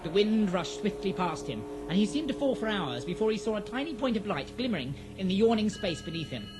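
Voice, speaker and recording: A man narrating a scripted fantasy audio drama in a theatrical, measured storytelling manner. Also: high voice, very animated, over music, low-quality recording.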